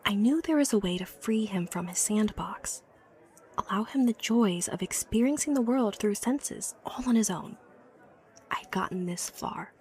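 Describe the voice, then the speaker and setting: A woman's voice: medium pitch, animated, unhurried, a little mellow, lightly acted. A woman playing an obsessive, haunted young inventor from a wealthy family in a horror audio drama, confiding hesitantly with phrases that drop to a whisper. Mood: defensive, yearning. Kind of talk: horror audio drama acting, confessional monologue. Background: background music.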